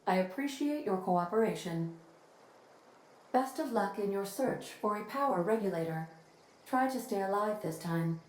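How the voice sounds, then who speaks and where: Game role: actress as dry, cool, businesslike woman. Mid pitch, echoey room, noticeable background noise. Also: animated, normal speed, natural voice. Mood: dry, curt. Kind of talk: video game character acting. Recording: roomy echo with clear background noise.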